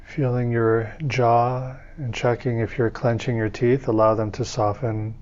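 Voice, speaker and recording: A man leading a guided meditation as a teacher, speaking in calm, soothing, measured phrases with pauses between them. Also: medium pitch, highs cut off.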